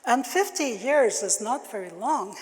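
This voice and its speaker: An older woman who grew up speaking Ojibwe, giving a keynote talk at a conference in a slow, halting way with many fillers. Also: a little high, expressive, a little mellow. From Canada. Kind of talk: keynote address. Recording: long hall reverb.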